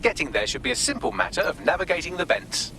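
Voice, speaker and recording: A man's voice: high-pitched, big pitch swings, fast, over a noisy crowd. An actor playing a cheeky, sardonic male AI assistant in a video game, with wry, glib delivery. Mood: wry briefing.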